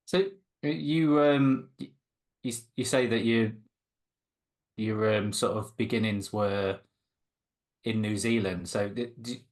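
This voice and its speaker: A man's voice: on the low side, fairly even intonation, takes it slowly, long pauses. A man hosting a podcast interview, asking questions hesitantly and stumbling over his words. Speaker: podcast host. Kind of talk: casual interview chat.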